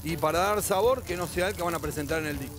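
A man's voice: fairly high pitch, quick pace. A middle-aged man with an Argentine accent, a chef judge on a TV cooking show, giving instructive, practical advice to the contestants. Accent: Argentine Spanish. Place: TV studio kitchen set.

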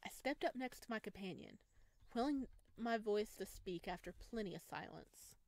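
A woman streamer reading a visual novel aloud in expressive scripted narration, close to the microphone. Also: mid-range voice, moderate pace, vocal fry, dry recording.

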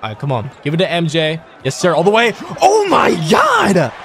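A young man commentates casually on his own gameplay. His voice sits fairly high and leaps around as he reacts in excited, slangy bursts. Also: normal speed, crowd noise. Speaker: gaming video creator.

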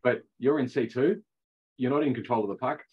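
A man's voice: medium pitch, brisk, breathy edge. A middle-aged man coaching ice hockey, explaining the rules in a chatty online session and laughing at his own jokes. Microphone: video call. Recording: dull lo-fi video call audio.

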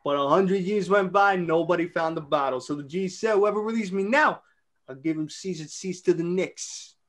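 A man's voice: high-pitched, normal speed. A man in an amateur script reading, playing a playful young storyteller spinning a tall tale.

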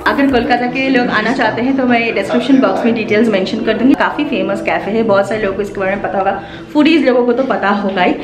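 A woman's voice: a little high, quick pace, over music. A woman in her thirties with an Indian accent chats casually to camera as a vlogger, switching between Hindi and English. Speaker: pet vlogger. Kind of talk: casual vlog chat to camera. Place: indoors, home bedroom and cafe. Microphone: camera at arm's length.

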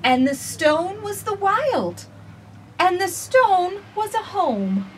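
A woman in her forties reading a picture book aloud for children with expressive, sing-song phrasing, recorded outdoors on a porch. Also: very high-pitched, slow. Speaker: children's storytime reader. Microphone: camera a few feet away. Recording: distant camera mic, faint outdoor noise.

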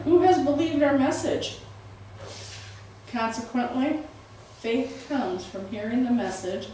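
A middle-aged woman preaching a sermon in a small church room, with a big, carrying voice and lively gestures, recorded without a microphone. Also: a little high, a little hiss or hum. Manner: loud voice that carries, animated and gesturing. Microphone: far camera, no microphone. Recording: very echoey room, voice heard from a distance.

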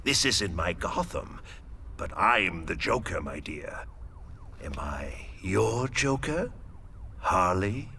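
A sly villain clown, bright sharp tone, wild swoops, slow taunting pace. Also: male voice, low-pitched, sing-song, gravelly, a little hiss or hum, heavily acted. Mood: menacing. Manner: sing-song, mocking, wildly swooping delivery. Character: grinning male villain clown, theatrical and menacing. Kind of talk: video game cutscene acting.

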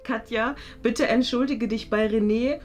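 A young woman with a high voice hosts in a casual, playful manner. She is recorded indoors, with faint music behind her. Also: steady tone, unhurried, a little mellow. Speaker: host of an online prank date show.

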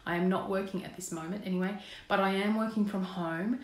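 Australian woman, 30s, slightly croaky, earnest coach chatting to camera in a roomy home space. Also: mid-range voice, fairly even intonation, a little fast. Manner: earnest, encouraging, asks viewers questions. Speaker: parenting coach. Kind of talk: casual live-stream talk to viewers. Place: indoors at home, small room. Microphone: phone camera, close framing. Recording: roomy echo in a home room.

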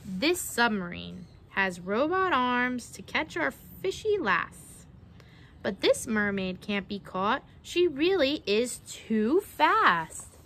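A young woman you would hear at preschool story time, bright-voiced and unhurried, acting out each rhyme for the little ones. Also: on the high side, a little hiss or hum. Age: young adult. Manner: expressive, dramatizes the verses and pauses to ask questions. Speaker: read-aloud storyteller. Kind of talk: children's picture book read-aloud with questions to young listeners. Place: outdoors on a wooden backyard deck.

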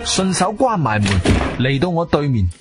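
A stern, controlled hero narrating a radio play. His pitch moves widely and dramatically, with clear music playing behind him. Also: very animated, normal speed. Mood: tense, controlled. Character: composed, wronged male adventurer narrating his own ordeal. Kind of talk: first-person radio drama narration and dialogue.

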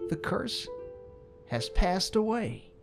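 An actor playing a dying gallant gentleman in an audio drama, halting, feeble and gasping, full of pious gratitude. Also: high-pitched, very animated, creaky, music in the background, exaggerated voice. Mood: dying, grateful. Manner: halting, laboured.

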